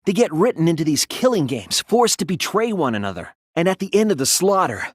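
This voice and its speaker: A man's voice: fairly high pitch, sing-song, a little fast, dry recording, studio quality. A young man voicing an earnest, wounded but defiant teenage boy detective in a dramatic video game dub, emotional and emphatic. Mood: grave resolve. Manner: emotional, emphatic, swinging from hushed to impassioned.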